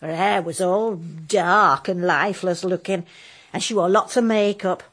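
An actress playing a deferential, chatty country cook in a radio drama. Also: female voice, lowish voice, steady tone, measured pace, a bit bright, husky. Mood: gossipy, respectful. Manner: gossipy, respectful.

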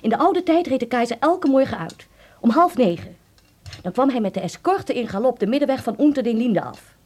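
Lively, higher-voiced nostalgic Berlin woman. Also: a little fast. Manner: storytelling, peppers speech with German phrases. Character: nostalgic, chatty German woman of a Berlin hotel family. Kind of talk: radio drama acting.

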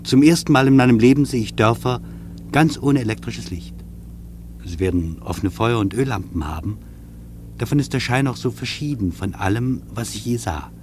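An actor playing an observant air traveller in a German radio play, giving his impressions in measured, reflective phrases. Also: medium pitch, close to an everyday voice. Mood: pensive, watchful. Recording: steady engine-like hum in the background.

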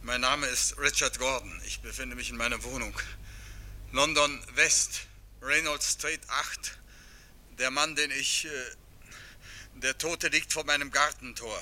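Give me a shaken confessor on the phone in a radio play, very slow and halting, with a piercingly bright, noisy sound. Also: male voice, medium pitch, fairly even intonation. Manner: halting, hesitant. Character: shaken man confessing a killing by telephone.

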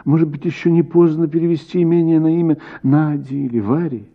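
A man's voice: a little high, fairly even intonation, fairly quick, dull audio, pitched above the performer's own voice. A man reading a story, voicing an anxious married woman landowner who pleads emphatically for her family estate. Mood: pleading.

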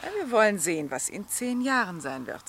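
An actress playing a principled, stern middle-aged countess in a literary radio drama, with formal, pointed diction. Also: female voice, mid-range voice, very animated, fairly quick, a bit bright, a little hiss or hum. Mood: disapproving.

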